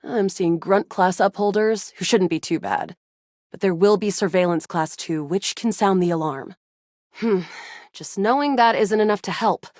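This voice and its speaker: A woman's voice: medium pitch, normal speed, a little mellow, dry recording, clean studio sound, lightly acted. A woman voicing a cocky young female space soldier in a video game, brash, dramatic and self-assured. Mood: cool, determined. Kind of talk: video game character dialogue.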